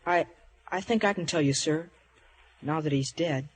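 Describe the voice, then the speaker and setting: A man's voice: on the high side, normal speed, breathy edge. A male actor playing a respectful, earnest young cabin boy in an adventure drama, hesitant and deferential.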